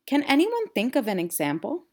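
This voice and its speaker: A woman voicing an encouraging female science teacher in a storybook, giving clear classroom instructions. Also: high-pitched, huge pitch swings, normal speed, a little mellow, pitched above the performer's own voice, exaggerated voice.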